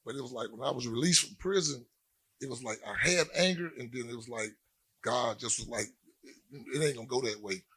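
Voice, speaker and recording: Husky man telling his story. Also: mid-range voice, sharp tone. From Louisiana. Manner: plain-spoken, halting with many "um" pauses. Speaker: panel guest, former prisoner. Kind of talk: personal testimony on a panel.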